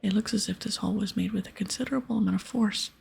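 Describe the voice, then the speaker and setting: A woman doing ASMR game commentary, reading aloud and chatting casually in a breathy whisper. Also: medium pitch, fast, a little mellow, slight background noise.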